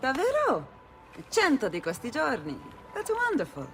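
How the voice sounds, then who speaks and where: Lilting, teasing Italian confidante. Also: female voice, high-pitched, huge pitch swings, moderate pace, fairly bright tone, slight background noise, exaggerated voice. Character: sly, teasing, warm Italian woman confidante. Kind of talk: video game cutscene acting.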